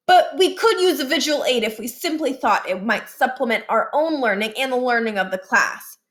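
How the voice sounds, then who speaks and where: An eager, pedantic schoolboy acted out by a woman from a children's storybook. He speaks up politely, in formal and precise phrases, with a raised pitch. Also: lively intonation, normal speed, fairly bright tone. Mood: earnest, polite.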